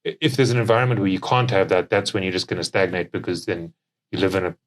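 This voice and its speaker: A man's voice: deep voice, fast. A young adult man with a South African accent, chatting casually as a company co-founder on a podcast. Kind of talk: casual conversational podcast chat.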